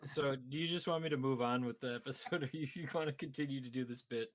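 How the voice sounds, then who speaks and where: A man's voice: mid-range voice, fast. A man hosting a casual podcast, chatting in a wry, bantering way. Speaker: podcast host.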